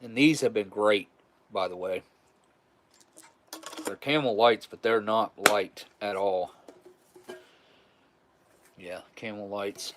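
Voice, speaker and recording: A man's voice: fairly low pitch, slow, pauses often, slight background noise. A man in his forties hosting a live stream, chatting casually with viewers in a rambling, chuckling, easygoing way. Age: 40s. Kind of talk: casual live chat reading viewer messages.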